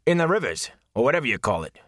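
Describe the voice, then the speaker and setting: A man's voice: mid-range voice, very animated, fast, fairly bright tone, no room sound, studio quality, voice pushed up. A man voicing a curt young bathhouse attendant who talks abruptly, with a mumbly, mushy way of speaking. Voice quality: mumbly, as if with a mouthful of mush. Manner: abrupt, scornful.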